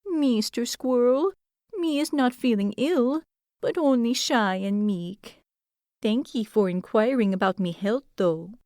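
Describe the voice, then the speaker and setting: A woman's voice: a little high, measured pace, mellow, dry recording, studio quality, natural voice. A woman putting on a timid little hedgehog. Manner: timid, broken quaint grammar. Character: shy meek tiny male hedgehog.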